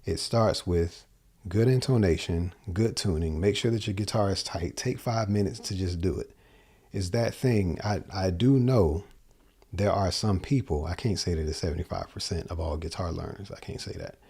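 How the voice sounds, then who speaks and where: A man's voice: deep voice, normal speed, vocal fry, warm tone, husky. A man in his forties giving a casual guitar lesson from a small home studio, explaining in loose, rambling sentences that often correct themselves. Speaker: guitar teacher. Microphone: large dynamic mic on boom arm.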